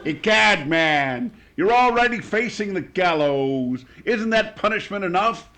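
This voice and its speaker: A pompous, grumbling old barrister for a classic radio comedy. The voice is bright and pitched high, with a slow, florid, theatrical drawl. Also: male voice, animated, full character voice. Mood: crotchety. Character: pompous, crotchety old British barrister, W. C. Fields style.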